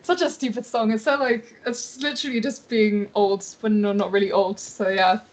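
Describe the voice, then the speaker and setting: A woman's voice: medium pitch, steady tone, moderate pace, poor audio. A woman whose mother tongue is Tamil, chatting casually and informally as a singer-songwriter introducing her own songs, with a giggly, like-peppered manner. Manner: informal, giggly, uses "like" often. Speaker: singer-songwriter and producer, festival guest performer.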